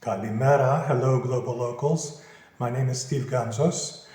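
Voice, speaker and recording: A man's voice: medium pitch, normal speed. A man in his fifties, from Philadelphia with Greek as his first language, giving easygoing, practical answers in a casual interview. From Philadelphia, USA. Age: 50s. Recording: very echoey room.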